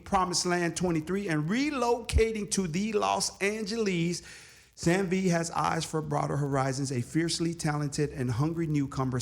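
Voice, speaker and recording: A man's voice: a little high, creaky. A middle-aged man hosting a radio interview show from a studio, reading an emphatic scripted introduction and asking questions. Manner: upbeat, emphatic announcer delivery. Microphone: studio microphone with headphones.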